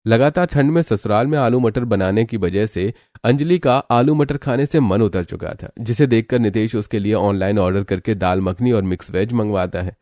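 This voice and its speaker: A man's voice: lowish voice, moderate pace, few pauses, mellow, no echo, clean studio sound. A man narrating a scripted family cartoon story. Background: background music.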